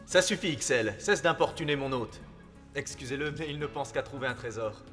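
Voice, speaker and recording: A man's voice: medium pitch, lively intonation, brisk, a bit bright, lightly acted. A man voicing a regal, fatherly animal king in a French cartoon dub, stern but gracious and formal. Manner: stately, formal. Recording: long hall reverb, faint music.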